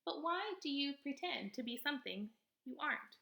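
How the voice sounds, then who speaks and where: A woman voicing an earnest, gentle female robot in a storybook, with formal, stilted, over-polite phrasing. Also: sits high, animated, moderate pace, vocal fry, voice pushed up, exaggerated voice. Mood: literal, matter-of-fact. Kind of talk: storybook character read-aloud.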